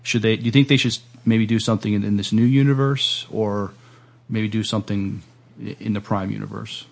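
A man with a lowish, gravelly voice hosts a casual interview. He phrases things tentatively, halting and picking his way through questions and thoughts. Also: flat delivery. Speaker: podcast host interviewer.

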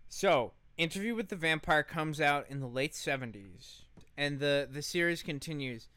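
Crisp, bright male podcast storyteller. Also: a little high, expressive, normal speed, no echo. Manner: irreverent, sweary, joking. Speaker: podcast co-host. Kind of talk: casual comedic storytelling.

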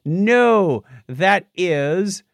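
A male podcast host with a fairly high voice that moves around a lot in pitch. He talks through news stories casually, with joking asides, in a dry, close-sounding recording. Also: lively intonation, extremely slow, gravelly, dry recording. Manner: jokey, conversational. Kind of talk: casual news banter and explaining.

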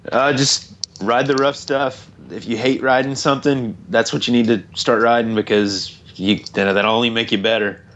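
A man's voice: mid-range voice, fairly even intonation, moderate pace, fairly bright tone, lo-fi sound, a little hiss or hum. A man in his late thirties from Tennessee, a dirt bike racer talking as an interview guest, modest and plain-spoken, often repeating words as he starts sentences. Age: late 30s.

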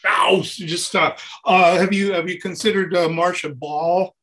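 Gabby man with a higher-pitched voice. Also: measured pace. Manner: gabby, rambling, animated storyteller. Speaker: interview guest, touring keyboard player. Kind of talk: casual anecdotal interview chat.

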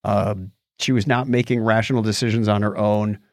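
A man's voice: lowish voice, fairly even intonation, normal speed, no room sound, studio quality. A man co-hosting a podcast, casually retelling a story in a conversational way with asides. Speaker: podcast co-host. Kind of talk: casual chat, recounting a documentary.

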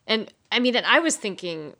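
A woman's voice: fairly high pitch, very animated, a little fast, creaky, bright, forceful tone. A woman co-hosting a radio show in a conversational discussion style.

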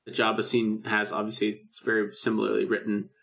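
A man's voice: fairly low pitch, monotone, fairly quick, vocal fry, a bit bright. A man co-hosting a podcast, chatting casually and enthusiastically like a fan reviewing a show. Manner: enthusiastic fan, acts out lines in asides. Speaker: podcast co-host. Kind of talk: casual chat reviewing a radio drama.

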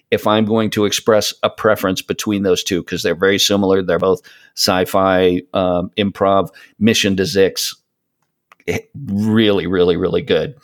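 Male podcast guest, low-pitched voice, casual and rambling, feeling around for the right words. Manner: rambling, hesitant searching for words. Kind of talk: casual podcast chat, recommending audio dramas.

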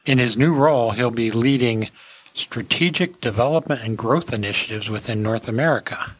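A man's voice: mid-range voice, normal speed, a little hiss or hum, low-quality recording. A man hosting an industry podcast in a casual talking style. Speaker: podcast host.

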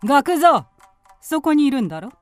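A woman's voice: high voice, very animated, normal speed, faint music behind. A woman playing a spiteful, suspicious middle-aged aunt in a period radio drama, with a haughty, sneering, commanding manner. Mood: scornful, suspicious. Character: spiteful, suspicious aunt and mistress of a village household.

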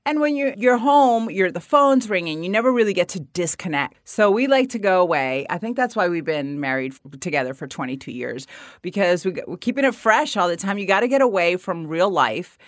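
A woman in her forties, a guest telling stories with lively, acted-out quotes. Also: fairly quick, no room sound, clean studio sound. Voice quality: low raspy voice in the mornings. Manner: animated storyteller, acts out quotes with big pitch swings. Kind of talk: casual interview chat.

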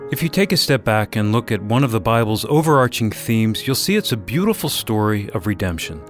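A man's voice: lowish voice, sing-song, fairly quick, faint music behind. A man announcing and co-hosting a radio program in a scripted, orderly delivery.

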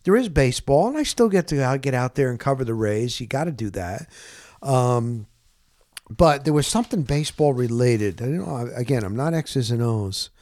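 A man's voice: mid-range voice, normal speed, no echo. A middle-aged man hosting a sports podcast, talking in a casual, rambling monologue and telling stories in an informal way, often interrupting himself. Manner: informal, animated storyteller with self-interruptions.

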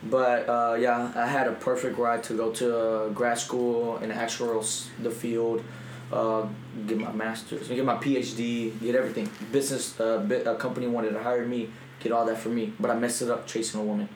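A man in his twenties from Mexico, telling his life story as a podcast guest in an animated, rambling way. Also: mid-range voice, moderate pace, slight background noise. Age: 20s. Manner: animated, rambling, self-interrupting. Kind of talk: casual storytelling chat. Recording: roomy echo.

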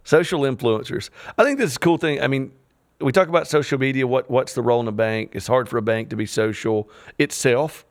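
A man's voice: mid-range voice, fairly quick, dry recording, clean studio sound. A man in his thirties, co-hosting a podcast with rambling, wisecracking asides. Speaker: podcast co-host. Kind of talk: casual chat.

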